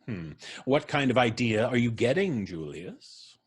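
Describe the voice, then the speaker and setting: An actor playing a calm, amused man who cheerfully expects to die soon, talking in an unhurried, cryptic way. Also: medium pitch, breathy edge. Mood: serene. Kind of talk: live radio drama reading.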